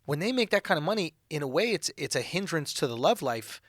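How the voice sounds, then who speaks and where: A man doing casual comedic podcast banter, brash and animated, with slang and swearing. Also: a little high, fast, no echo, clean studio sound.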